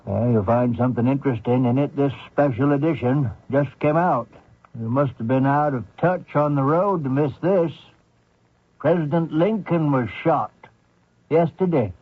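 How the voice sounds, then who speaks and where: Man acting a husky, nosy small-town fellow. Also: medium pitch, normal speed, natural voice. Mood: chatty, blunt. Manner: folksy, probing, plain-spoken. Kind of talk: old-time radio drama acting. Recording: lo-fi old radio recording.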